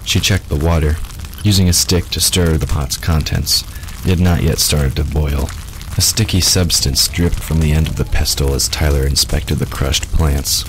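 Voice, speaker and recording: A man's voice: a bass voice, fairly even intonation, moderate pace, slight background noise, low-quality recording. A man reading scripted fiction as the narrator of an audio drama.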